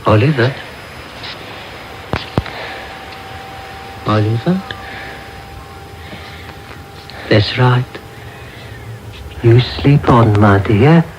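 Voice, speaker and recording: A sly, suspicious old crook played by a man in a 1990s radio play. He speaks slowly, fawning and repeating himself in a greedy, wheedling mutter. The sound is a noisy medium-wave broadcast taped off air. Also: medium pitch, muffled recording, natural voice. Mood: gloating, muttering. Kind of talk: 1990s radio drama acting.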